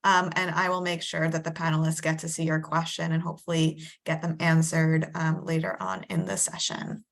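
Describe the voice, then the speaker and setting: A woman's voice: low voice, monotone, normal speed, few pauses. Helpful woman explaining the chat. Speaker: event staff, chat moderator. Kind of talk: giving instructions on a video call.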